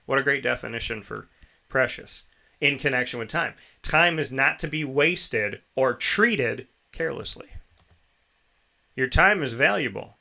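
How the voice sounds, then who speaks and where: Bright, forceful American man in his thirties. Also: mid-range voice, animated, unhurried, gravelly. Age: 30s. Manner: emphatic, repeats phrases and poses rhetorical questions. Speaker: show host. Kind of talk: motivational personal monologue.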